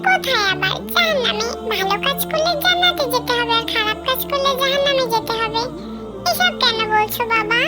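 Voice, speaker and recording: An extremely high-pitched little boy from a cartoon, acted by a woman. He is whiny and insistent with his parents, over loud music. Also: brisk. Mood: puzzled. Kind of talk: scripted cartoon dialogue.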